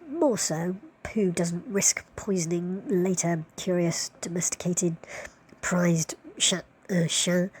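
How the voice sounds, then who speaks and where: A woman narrates in a slightly low voice, taking her time over each phrase. Her delivery is scripted, wry and playfully arch, full of florid wording. There is clear noise behind her. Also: rough recording.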